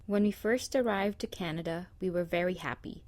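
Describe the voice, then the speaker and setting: A woman narrating a scripted presentation in a measured, read-aloud manner. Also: lowish voice, darkish tone, dry recording, clean studio sound.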